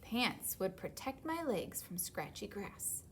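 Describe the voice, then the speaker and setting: A woman's voice: mid-range voice, very animated, slow pace, fairly bright tone, a little hiss or hum, full character voice. A woman voicing a stubborn, wishful panda in a children's picture book, with insistent, childlike pleading. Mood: hopeful, wishful.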